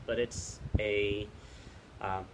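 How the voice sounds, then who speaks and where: Man, somewhat low pitch, halting casual book talk, hesitant, very noisy windy outdoor recording. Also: little pitch movement. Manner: halting, hesitant, pausing often to think. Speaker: book recommender, teacher. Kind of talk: casual book recommendation chat. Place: outdoors in the wind. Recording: very loud wind noise, clicks, outdoor video.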